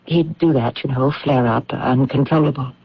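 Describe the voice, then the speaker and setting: Anxious, husky-voiced widow. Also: female voice, rumbling low, steady tone, normal speed, dry recording, full character voice. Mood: confiding. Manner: hesitant, earnest. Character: anxious British widow confessing a secret to officials. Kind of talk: radio drama acting. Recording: dull, muffled old radio broadcast.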